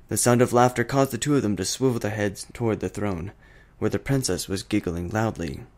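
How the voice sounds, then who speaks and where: A man's voice: fairly low pitch, brisk, darkish tone. A man reading a fantasy story aloud as scripted narration.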